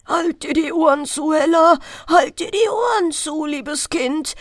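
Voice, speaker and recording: A woman's voice: high-pitched, unhurried, no room sound, studio quality, voice pushed up. A woman voicing a frightened old fisherman father who urgently warns his child in a storybook tale. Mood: alarmed, urgent. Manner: urgent, exclaiming.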